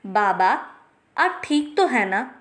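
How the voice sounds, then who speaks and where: A woman voicing a kind, respectful young gentleman in a bedtime story, speaking in a polite, earnest way. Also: mid-range voice, big pitch swings, slow, pitched below the performer's own voice. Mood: caring.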